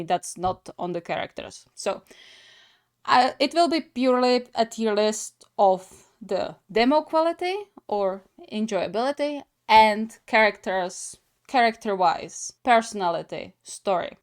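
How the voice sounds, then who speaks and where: A woman hosts a gaming reaction channel with casual, chatty commentary. She takes her time, speaking slowly at a middle pitch. Her manner is giggly and she often stops to qualify what she says.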